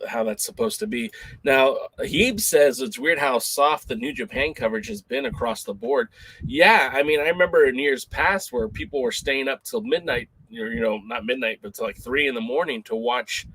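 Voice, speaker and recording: A man's voice: medium pitch, lively intonation, normal speed, a bit bright, rough recording. Rambling man hosting a casual chat show. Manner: rambling and conversational, lots of fillers. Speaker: podcast host.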